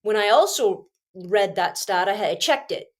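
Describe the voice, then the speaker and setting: A woman's voice: mid-range voice, animated, moderate pace. A Canadian woman, a teacher, hosting her own show, sounding congested with a cold and talking with passionate emphasis and frequent restarts. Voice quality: screechy when agitated. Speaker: podcast host and teacher. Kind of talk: casual solo commentary.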